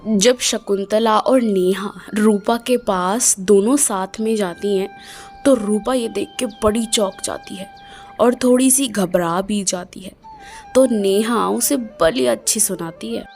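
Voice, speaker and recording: A woman narrating a storybook tale in a plain, unhurried way. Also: mid-range voice, darkish tone, faint music behind.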